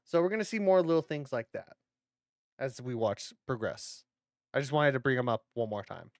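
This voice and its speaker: A man's voice: medium pitch, sing-song, normal speed. A man hosting a horror watch-along, giving casual reaction commentary with playful, rambling asides.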